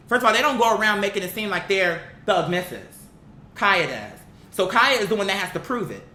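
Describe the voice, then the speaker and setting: Online commentator, mid-pitched and sharp-toned, emphatic, slangy, swears freely, opinionated off-the-cuff talk, roomy home-style recording. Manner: blunt, emphatic, slangy and profane. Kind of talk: casual opinionated commentary, unscripted talk to viewers. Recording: echoey room, bright sound, faint background noise.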